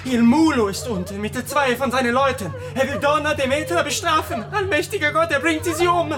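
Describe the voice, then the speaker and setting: Actress as a high, frantic young servant. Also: female voice, fairly quick, close to an everyday voice. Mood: panicked. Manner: frantic, exclaiming. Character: frightened, breathless young servant raising the alarm. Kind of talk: radio drama dialogue.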